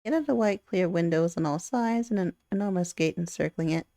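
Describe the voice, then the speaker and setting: A woman reading a visual novel aloud in an expressive way, with chatty asides of her own. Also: fairly low pitch, a little fast, no echo, clean studio sound. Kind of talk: playthrough narration with casual commentary.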